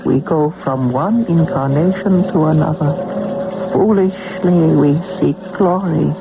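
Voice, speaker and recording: A man's voice: on the high side, vocal fry, noisy background. A man acting in a radio drama as a very old, serene monk who makes solemn, fatalistic pronouncements. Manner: solemn, preaching in measured pronouncements. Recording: muffled phone-like old radio, music behind.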